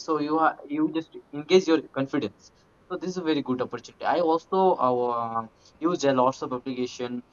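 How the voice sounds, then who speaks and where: A man from India speaks over a video call. His audio comes through dull and lo-fi. He talks in halting bursts with loose grammar, eager to make his point. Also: on the high side, fairly bright tone. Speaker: English practice partner. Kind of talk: casual chat in English practice.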